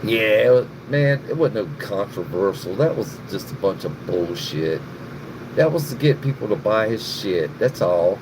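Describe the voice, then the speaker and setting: A man's voice: on the low side, animated, unhurried. A middle-aged man hosting a casual livestream, rambling and cursing freely as he answers viewers in the chat by name. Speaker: livestream host. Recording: noticeable background noise, air conditioning hum.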